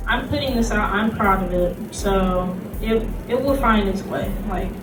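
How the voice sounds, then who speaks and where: A woman talks in a casual, chatty way as a panel host. Her voice is medium in pitch, picked up from a distance in a roomy space, with music clearly heard behind it. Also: unhurried. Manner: informal, chatty. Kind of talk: casual convention panel talk. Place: convention panel room.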